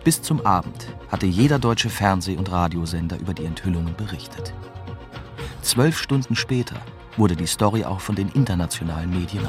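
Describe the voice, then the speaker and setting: An actor plays a young German journalist telling his story in a radio drama. His low male voice is reflective and bitter, with music softly behind it. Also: close to an everyday voice. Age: young adult. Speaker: narrator and lead actor. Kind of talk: radio drama first-person narration.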